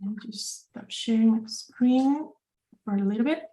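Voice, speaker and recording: Brief: enthusiastic female webinar host on a video call, slightly high voice. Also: measured pace, warm tone, low-quality recording. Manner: upbeat, enthusiastic, with fillers and restarts. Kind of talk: hosting a live online chat.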